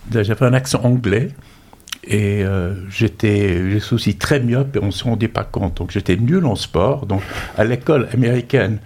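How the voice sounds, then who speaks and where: A man's voice: mid-range voice, creaky, darkish tone, breathy edge. A man near eighty, a climate activist guest, telling his life story in a thoughtful, self-deprecating way. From raised in the United States. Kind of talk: reflective personal storytelling.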